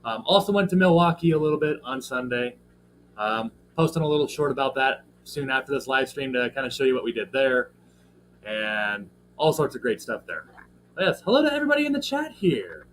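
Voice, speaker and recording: A man's voice: medium pitch, very animated, a little fast. A man with a slightly scratchy voice, hosting a casual livestream and talking in a rambling way with lots of fillers while greeting viewers in the chat. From American Midwest. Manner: rambling, filler-heavy, friendly shout-outs to chat. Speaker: livestream host. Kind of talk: casual live stream chat.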